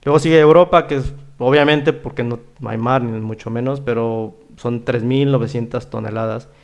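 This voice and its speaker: A man's voice: mid-range voice, fairly even intonation, normal speed, creaky. A man with a Mexican accent hosting a casual interview, talking in a rambling way and often adding little tag questions. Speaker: podcast host.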